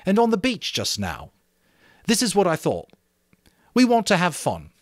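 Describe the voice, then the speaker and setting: An earnest young boy leader acted by a man addresses his group in an audiobook story. His pitch is raised and swings freely as he stresses his points with emphatic conviction. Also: huge pitch swings, moderate pace, dry recording, studio quality. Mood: hopeful, rallying. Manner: emphatic, speech-making to a group. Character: earnest young schoolboy leader rallying the others. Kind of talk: dramatic audiobook character reading.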